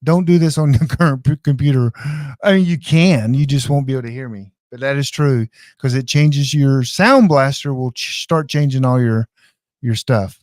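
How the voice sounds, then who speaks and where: A man's voice: mid-range voice, normal speed, a little mellow, no echo, clean studio sound. A man giving a casual, hands-on live tutorial in a folksy, informal way, speaking straight to his viewers. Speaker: online class presenter demonstrating radio gear. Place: indoors.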